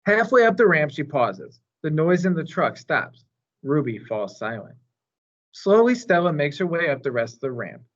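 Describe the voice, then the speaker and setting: A man reading a children's story aloud as narrator, in an expressive storytime style. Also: fairly high pitch, normal speed, highs cut off. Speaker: storytime reader.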